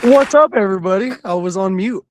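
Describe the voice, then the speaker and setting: A man talking on a casual sports podcast over a video call, laid-back and quick to laugh. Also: high voice, a crowd in the background, noisy background. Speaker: podcast guest, regular of the network.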